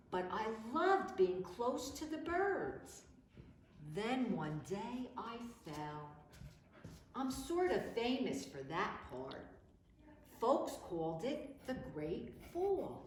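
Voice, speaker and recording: Echoey, animated woman reading a children's story. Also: mid-range voice. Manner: animated, dramatic, measured. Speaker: library storytime reader. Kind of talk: expressive children's picture-book read-aloud. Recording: echoey room with background noise.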